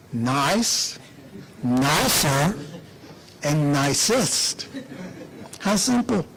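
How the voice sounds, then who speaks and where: A man speaking as an official at a public announcement, deliberate and emphatic, repeating phrases with rhetorical pauses. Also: a little high, fairly bright tone, poor audio. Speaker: airport official giving an announcement speech. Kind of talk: public speech at a press announcement.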